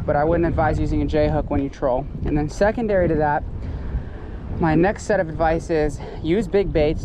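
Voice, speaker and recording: A young American man chatting casually to viewers as a fishing vlogger, speaking informally on an action camera out on an open boat. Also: a little high. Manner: informal, addresses viewers as buddies. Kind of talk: casual vlog chat while fishing. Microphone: action camera worn on the body. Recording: windy, noisy outdoor action camera. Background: wind, water and boat noise.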